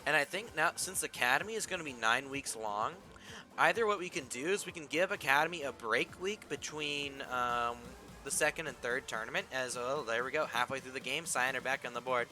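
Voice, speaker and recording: A young man casting an esports match and chatting with his stream chat, his voice a bit raspy from losing it. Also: medium pitch, brisk, bright, forceful tone. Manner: rambling, chatty, talks to chat. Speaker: esports caster and stream host. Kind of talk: casual play-by-play commentary with chat. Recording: music playing behind. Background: stream music.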